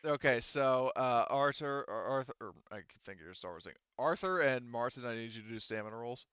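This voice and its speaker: A man speaking casually as game master of a tabletop roleplaying game, explaining things in a halting, conversational way. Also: mid-range voice, fairly bright tone, smooth, no room sound, dull audio.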